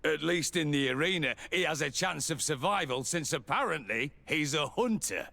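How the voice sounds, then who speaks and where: Curt, creaky-voiced dismissive official. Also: male voice, on the high side, expressive, moderate pace, pushed and bright, exaggerated voice. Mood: dismissive, defensive. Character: dismissive, defensive male official in a fantasy game. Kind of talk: fantasy game dialogue. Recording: echoing hall reverb.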